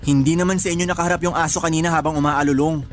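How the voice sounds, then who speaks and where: A man's voice: fairly high pitch, steady tone, very fast, slight background noise, natural voice. A man playing an exasperated but respectful young nephew who puts on a brave front in a Tagalog radio drama. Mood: dismissive.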